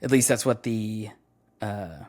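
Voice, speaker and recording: A young adult man, a voice actor, chatting casually in an interview, relaxed and full of fillers as he tells stories. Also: lowish voice, takes it slowly. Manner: relaxed, storytelling, many fillers. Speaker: voice actor guest.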